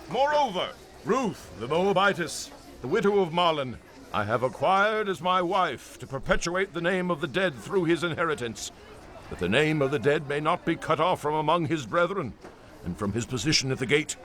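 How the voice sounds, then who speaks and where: A man's voice: on the high side, big pitch swings, moderate pace, fairly bright tone. A man voicing a kind, dignified wealthy kinsman in a dramatized audio Bible, speaking in a solemn, declaring way.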